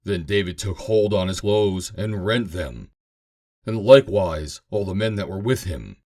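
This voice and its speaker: A man's voice: low voice, animated, a little slow, darkish tone. A man giving a solemn, theatrical, emphatic reading of scripture as a narrator. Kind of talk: dramatic scripted reading of King James Bible.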